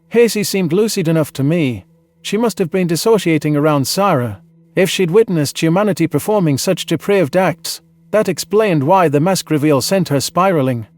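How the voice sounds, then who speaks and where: A man reading a dramatized science fiction story in the first person, earnest and measured with a dramatic delivery. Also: a little high, a little mellow. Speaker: audiobook narrator.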